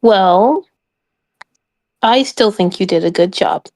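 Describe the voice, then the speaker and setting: A woman's voice: medium pitch, slow pace, a little mellow, natural voice. A woman playing an awkward, supportive female adventurer with a hesitant, earnest way of talking in a tabletop game. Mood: gentle, supportive.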